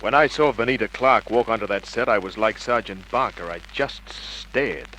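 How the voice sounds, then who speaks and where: A man's voice: fairly low pitch, normal speed, over music, close to an everyday voice. A male actor playing a wry private investigator who narrates his case in clipped, matter-of-fact Australian tones, in an old radio detective drama. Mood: narrating, tense. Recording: noisy vintage radio drama recording.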